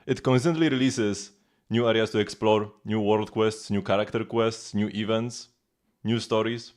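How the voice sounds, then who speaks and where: Lower-voiced man rambling on a game stream. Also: fairly even intonation, normal speed, darkish tone. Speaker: live streamer. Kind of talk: casual livestream chat and gameplay commentary. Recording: music playing behind the voice.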